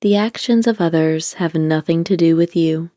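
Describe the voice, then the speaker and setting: Female guided-meditation narrator, lowish relaxed voice, calm deliberate delivery, very dry close recording. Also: no echo. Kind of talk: scripted guided meditation, slow gentle instruction.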